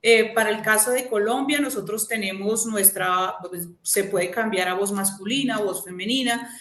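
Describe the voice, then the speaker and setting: A woman speaking on a webinar panel, explaining at a measured pace with frequent fillers. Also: mid-range voice, a bit bright. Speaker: panelist from a vacation company. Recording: echoey room.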